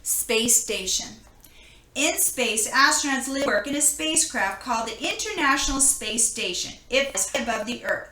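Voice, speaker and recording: Female storybook reader, clear-cut bright tone, expressive read-aloud for young children, roomy echoing indoor recording. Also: fairly high pitch, moderate pace, a little hiss or hum.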